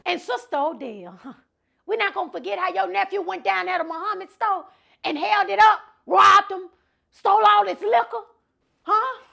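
A woman playing a loud, confrontational, foul-mouthed churchgoing wife in a comedy sketch. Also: very high voice, normal speed, gravelly, full character voice. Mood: indignant. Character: furious foul-mouthed churchgoing wife exposing everyone's secrets. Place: furnished living room at home.

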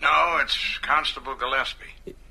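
An actor playing a small-town American constable speaking over the telephone in a radio drama. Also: male voice, mid-range voice, fairly even intonation, unhurried, creaky, background noise. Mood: subdued, halting.

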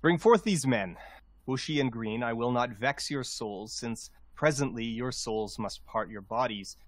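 A man's voice: medium pitch, measured pace, dry recording, natural voice. A man playing a proud, aggrieved rebel nobleman, delivering verse in a formal, declamatory manner. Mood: stern accusation.

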